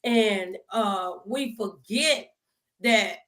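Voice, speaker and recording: A woman's voice: medium pitch, extremely slow, fairly bright tone. A woman hosting a faith talk show, speaking conversationally in a folksy, preacherly manner.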